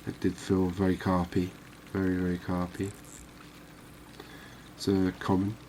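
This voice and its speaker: Low, even-toned man over background noise. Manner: unhurried, musing, conversational with tag questions. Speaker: gaming video host. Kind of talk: relaxed ASMR game commentary. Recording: clearly noisy background ambience.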